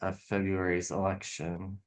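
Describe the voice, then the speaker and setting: Male tarot channel host, lowish pitch, unscripted and halting, speculative, thinking out loud as he reads. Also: little pitch movement. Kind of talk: casual tarot reading commentary on politics.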